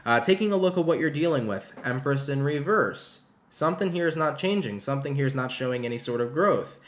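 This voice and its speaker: A man's voice: fairly high pitch, lively intonation, brisk, echoey room. A man with a stuffy nose from allergies giving a casual, encouraging tarot reading to viewers. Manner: chatty and encouraging, calls viewers "baby". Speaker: tarot reader.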